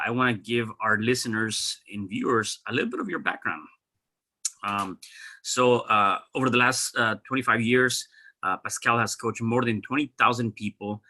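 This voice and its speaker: A man's voice: medium pitch, sharp tone. A man hosting a podcast interview, conversational, with many fillers and repeated words. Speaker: podcast host.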